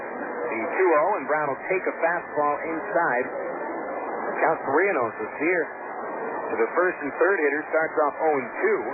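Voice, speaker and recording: Tinny radio baseball announcer, man. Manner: measured, informative, calls pitches between stats. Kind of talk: baseball play-by-play commentary. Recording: tinny, narrow-band radio broadcast with loud noise.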